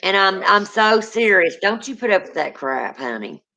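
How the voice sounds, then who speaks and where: A woman's voice: mid-range voice, sing-song, normal speed, vocal fry, sharp tone. A woman with a Southern US accent hosts a paranormal livestream, chatting casually and calling guests honey and girlfriend. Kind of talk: casual chat, calling out to spirits. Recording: dull lo-fi stream audio.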